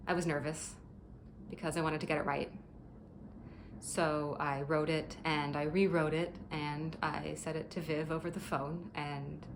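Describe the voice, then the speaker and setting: A woman performing a dramatic monologue as a grieving young friend giving a halting, tender eulogy. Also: low voice, a little hiss or hum. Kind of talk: dramatic monologue, funeral speech.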